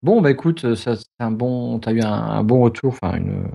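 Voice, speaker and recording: Gravelly, mellow male podcast host. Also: mid-range voice, expressive, moderate pace. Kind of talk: casual podcast hosting.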